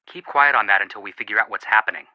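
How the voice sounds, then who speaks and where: A man's voice: fairly low pitch, racing, no room sound, lightly acted. An actor playing a goofy, playful young male detective in a video game, talking over a phone line. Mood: urgent, cautioning. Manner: playful, wisecracking.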